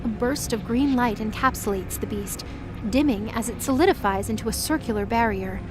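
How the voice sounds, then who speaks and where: A woman's voice: a little high, moderate pace, over music. A woman reading scripted, dramatic story narration for an audio drama.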